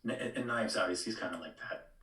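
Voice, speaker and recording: A man's voice: low voice, quick pace, sharp tone, reverberant. A man co-hosting a casual podcast conversation. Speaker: podcast co-host.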